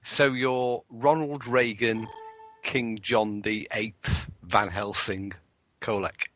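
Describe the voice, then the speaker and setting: A man's voice: lowish voice, steady tone, takes it slowly, fairly bright tone, no room sound. A man from Wales co-hosting a radio show, teasing with dry wit. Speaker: co-host. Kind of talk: jokey radio show chat.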